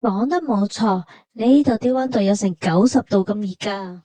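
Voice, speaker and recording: A woman's voice: medium pitch, moderate pace, dark tone, no room sound. A woman with a Hong Kong Cantonese accent narrating a travel vlog conversationally, explaining things like a storyteller.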